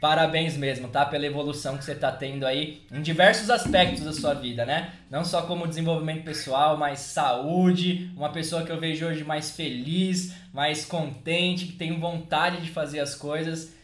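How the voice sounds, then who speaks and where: A man's voice: fairly high pitch, steady tone, normal speed, hardly any pauses, a bit bright, roomy sound. Encouraging male interview host. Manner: encouraging, repetitive with filler "aí". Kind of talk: casual interview chat.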